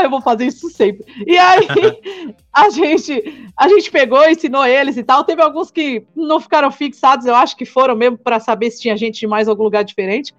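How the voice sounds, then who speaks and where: Guest: high, bubbly São woman in her twenties, slangy and laughing, on slightly muffled audio with light music. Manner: excitable, laughing often, slangy. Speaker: podcast guest, returned missionary. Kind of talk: casual interview chat.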